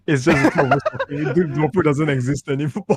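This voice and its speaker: A man's voice: on the high side, slightly rough. A man bantering casually on a podcast in a playful, joking way. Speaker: podcast co-founder and guest.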